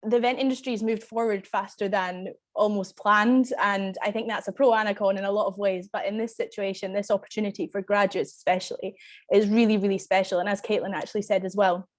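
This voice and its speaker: A woman with a cold, sounding croaky as a guest speaking earnestly on a video call. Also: mid-range voice, steady tone, fairly quick. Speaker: guest from a graduate events group. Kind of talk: casual remarks on a video call.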